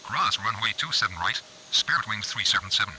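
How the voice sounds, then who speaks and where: A man's voice: on the low side, animated, brisk, sharp tone. A man voicing an airline pilot in a game, giving clipped, routine radio calls over background noise. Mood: routine, businesslike. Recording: noisy game radio audio.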